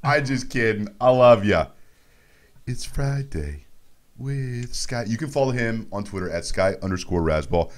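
A man's voice: medium pitch, expressive, a little slow. A man, hosting casual sports radio with joking, teasing banter into a close studio mic. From Montreal, Canada. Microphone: close studio mic on boom arm.

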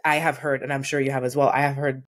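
A woman's voice: very deep voice, fast. A woman in her forties, a workplace consultant speaking as a guest in a video-call interview, telling stories earnestly and acting out the quotes. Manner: earnest, animated storytelling with acted-out quotes. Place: home room with painting behind.

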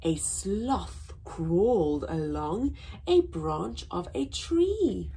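A young woman hosting a children's story time, reading aloud in an animated, deliberate way with drawn-out emphasis. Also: lowish voice, gravelly, a little hiss or hum, poor audio. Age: young adult. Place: small indoor room with painted backdrop.

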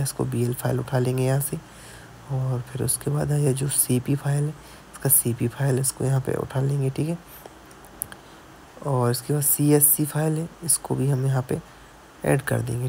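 Gravelly man giving a repair tutorial. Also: medium pitch, level tone, moderate pace, dark tone, a little hiss or hum. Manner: explains step by step, keeps adding "theek hai". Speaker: mobile repair technician. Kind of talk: screen-recorded tutorial narration. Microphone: voice-over on a screen recording.

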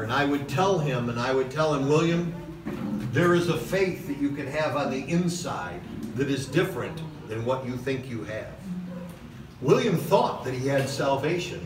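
A middle-aged man preaching a sermon from a podium in a large church hall, passionate, with "okay?" asides and slow emphatic lines. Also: medium pitch. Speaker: pastor. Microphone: far camera in the hall. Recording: echoey hall recorded from a distance with noise.

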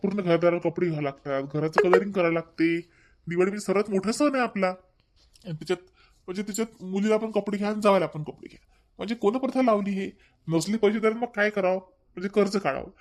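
A man's voice: high-pitched, fast, close to an everyday voice. A man voicing an outspoken, modest young son-in-law in a cartoon family comedy, protesting and repeating himself with a Varhadi Marathi accent. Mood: protesting, opinionated. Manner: repetitive, opinionated, protesting. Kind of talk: amateur animated family comedy acting.

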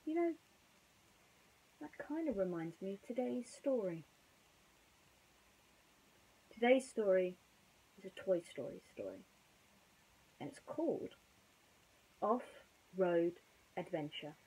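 A young woman telling a children's story informally, with a theatrical, expressive delivery. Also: medium pitch, takes it slowly, long pauses, husky, low-quality recording. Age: young adult. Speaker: bedtime storyteller. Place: small bedroom, indoors.

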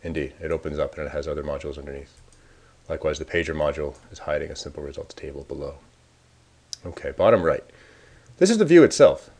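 A man casually talking through a software demo, thinking aloud as he clicks around the screen. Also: rumbling low, expressive, quick pace, long pauses. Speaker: software developer demoing a tool. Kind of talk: screencast walkthrough.

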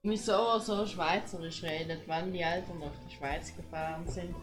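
A woman's voice: on the low side, steady tone, normal speed, music in the background. A woman chatting on a game stream, dropping dry, teasing remarks. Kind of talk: casual chat on a game stream.

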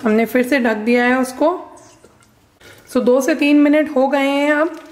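A woman's voice: sits high, measured pace, slight background noise. A young woman co-hosting a home cooking show, asking questions and addressing viewers. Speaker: co-host, daughter of the cook. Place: home kitchen.

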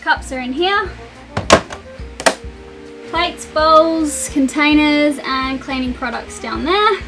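A woman's voice: sits high, takes it slowly, a bit bright, soft background music. A young woman giving a chatty, upbeat tour of the galley and cabins on her sailing catamaran. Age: young adult. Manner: chatty, upbeat, matter-of-fact. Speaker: sailing vlogger. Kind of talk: casual boat tour.

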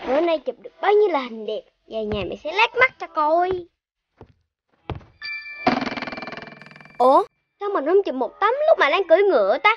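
A cheeky, kind-hearted little boy puppy from a children's cartoon. The voice is extremely high and childlike, full of eager exclamation. Music plays clearly underneath. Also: big pitch swings, fast, muffled recording. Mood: excited. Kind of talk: cartoon voice acting.

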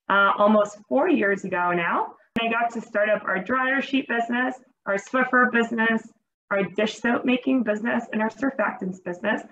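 A woman's voice: on the high side, fairly bright tone. A young woman from Venezuela presenting eagerly over slides on a video call, speaking through a mask with frequent fillers. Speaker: engineering manager presenting to teachers. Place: at her workplace, seen small in video-call window. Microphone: video call, masked. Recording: roomy, dull video-call audio.

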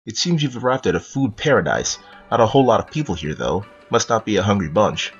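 A man's voice: on the low side, animated, fairly quick, breathy edge, soft background music. A man playing a playful, doting narrator who tells a cartoon storybook to a small child, in slightly dull sound. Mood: gentle, playful. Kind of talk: second-person storybook narration.

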